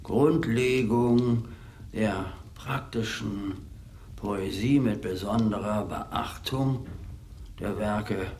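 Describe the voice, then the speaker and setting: Slow-spoken, pedantic man of learning. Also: lowish voice, darkish tone. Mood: solemn, pedantic. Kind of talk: German radio play acting.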